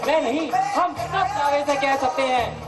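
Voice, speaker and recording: A man narrating the close of a stage play in an emphatic, halting, oratorical style. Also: very high voice. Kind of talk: declaimed closing stage speech in Hindi. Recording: distant mic, loud music and crowd.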